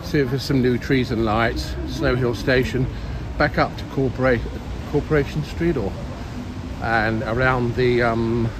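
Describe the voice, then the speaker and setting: Clear street and traffic noise surrounds an older man talking outdoors. He has a mid-pitched voice and chats casually to his viewers, rambling along as he walks through a busy city. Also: steady tone, measured pace. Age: older, late sixties or above. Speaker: live-streaming city walk vlogger. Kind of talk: casual rambling chat to viewers while walking. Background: urban street ambience, vehicles.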